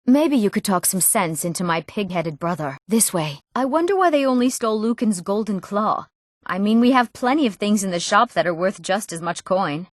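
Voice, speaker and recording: A woman's voice: medium pitch, dry recording, lightly acted. Young female fantasy RPG character, played by an actress: earnest townswoman or noble, middle register, clean dry studio sound. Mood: helpful, earnest. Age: young adult. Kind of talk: scripted fantasy game character dialogue.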